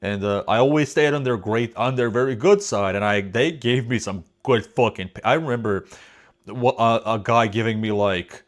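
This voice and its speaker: A man's voice: on the low side, normal speed. A man from Europe whose first language is not English, reacting to a video with rambling, swear-filled casual commentary. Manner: rambling, swears freely, trails off.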